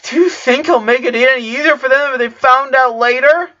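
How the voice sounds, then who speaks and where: A man's voice: very high-pitched, fairly quick, voice pushed up. A man voicing an upset, insistent teenage girl, an older sister, in a storybook reading with dull sound. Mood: vehement. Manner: pressing, emotionally forceful.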